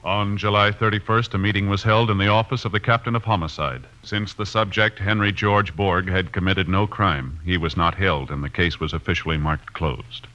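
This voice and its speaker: Formal male radio announcer over loud music. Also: sits low, fairly even intonation, moderate pace, few pauses. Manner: formal, crisp phrasing, official. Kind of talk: 1950s radio scripted announcing. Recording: muffled old radio broadcast recording.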